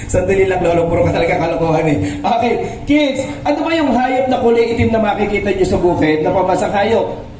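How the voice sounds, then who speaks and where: A man's voice: high voice, animated, fast talker, noisy background. Echoey man hosting a children's puppet show. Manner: coaxing, instructing, repeats himself. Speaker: puppeteer and children's party host. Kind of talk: live comic patter for children. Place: live children's birthday party. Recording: echoey live recording, mic far off.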